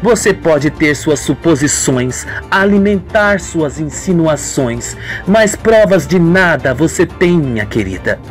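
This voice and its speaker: A woman's voice: low-pitched, animated, slow pace, few pauses, soft background music. A narrator voicing a scheming, two-faced young woman in a radio soap, with feigned sorrow and haughty defiance. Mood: defiant. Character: scheming, falsely sweet young woman villain.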